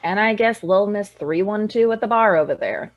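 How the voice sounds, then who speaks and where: A woman's voice: medium pitch, normal speed, muffled recording, close to an everyday voice. A young actress playing a tipsy, dry-witted woman from a small town in a radio drama. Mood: wry, tipsy.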